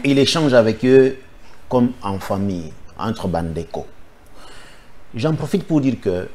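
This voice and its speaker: A man's voice: fairly low pitch, animated, unhurried, darkish tone, a little hiss or hum. A man from the Democratic Republic of the Congo, a political guest giving firm, insistent answers in a studio interview.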